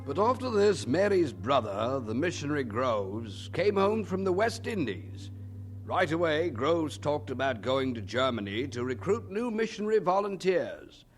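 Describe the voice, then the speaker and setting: A man's voice: fairly high pitch, very animated, moderate pace, slight background noise. A man narrating a scripted story for an audio drama in a storytelling style. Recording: music behind the voice. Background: music.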